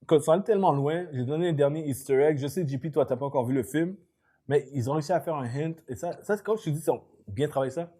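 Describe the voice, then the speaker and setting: A man's voice: fairly high pitch, quick pace, warm tone. A man with a Quebec French accent chatting casually about movies as a podcast host, emphatic and slangy, dropping in English words.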